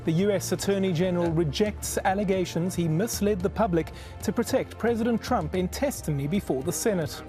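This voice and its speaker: Guy anchoring tv news, formal n measured, kinda high soft mellow voice, bit of music under it. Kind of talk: broadcast news presenting and interviewing.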